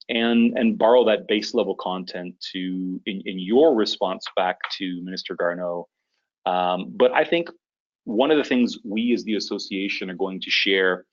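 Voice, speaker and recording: A man hosting a business conference call, giving an informal briefing in a conversational, somewhat halting way. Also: deep voice, dull audio. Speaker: conference call host, association leader. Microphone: conference call connection.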